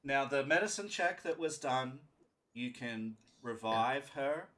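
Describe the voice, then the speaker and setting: A man's voice: medium pitch, takes it slowly, pushed and bright. A man narrating a live tabletop roleplaying game as game master, speaking emphatically and often checking in with his players.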